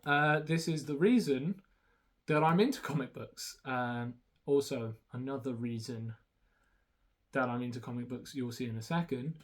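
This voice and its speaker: A man's voice: medium pitch, moderate pace. A young man whose first language is Romanian, talking casually and hesitantly through a haul with many ums and restarts. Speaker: YouTuber showing a haul.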